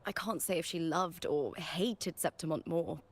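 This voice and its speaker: A woman's voice: lowish voice, a little slow. A woman voicing a proud, earnest young gladiator in a video game, with a heartfelt, reverent delivery. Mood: solemn, tender. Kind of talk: voiced game dialogue.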